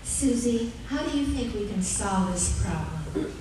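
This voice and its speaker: A woman's voice: medium pitch, animated, a little slow, natural voice. Woman acting a gracious mother in an echoey hall. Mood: kindly. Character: kindly, encouraging mother, also narrating memories. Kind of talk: live radio play acting and narration. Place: live stage in arts center. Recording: echoey hall, distant mic, noisy.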